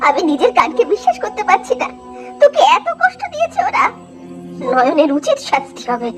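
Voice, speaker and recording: Woman acting as very high, plaintive old nursemaid. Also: huge pitch swings, brisk, music in the background, heavily acted. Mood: distressed. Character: distressed, caring old nurse of the family. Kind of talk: Bengali horror cartoon dialogue.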